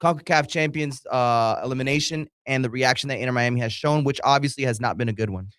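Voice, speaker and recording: Casting: male podcast co-host, mid-pitched, casual soccer talk, dry recording. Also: fast, a bit bright, clean tone, dry recording.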